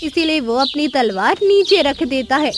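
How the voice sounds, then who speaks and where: A woman's voice: high voice, expressive, moderate pace, noisy background. A woman narrating a scripted children's moral story as its storyteller.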